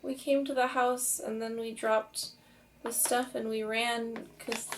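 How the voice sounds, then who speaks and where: Woman as slow, hesitant teenage girl. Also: on the high side, little pitch movement, far from the mic. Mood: meek, uncertain. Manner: hesitant, short answers. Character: dazed, hesitant teenage daughter, meek. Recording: off-mic with faint noise.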